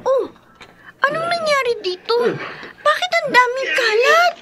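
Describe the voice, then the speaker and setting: A woman's voice: extremely high pitch, a little slow, over loud music. A woman acting in a Filipino radio drama as a dutiful but outspoken teenage daughter, with emotional, emphatic delivery. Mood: hurt, angry.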